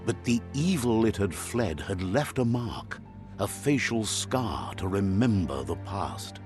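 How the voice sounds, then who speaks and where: A man narrating a game's story calmly, like a storybook, and relaying what a creature says. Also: fairly low pitch, slow. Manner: calm storyteller, translating a creature's speech. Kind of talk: scripted storybook narration for a game. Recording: game audio with faint music behind.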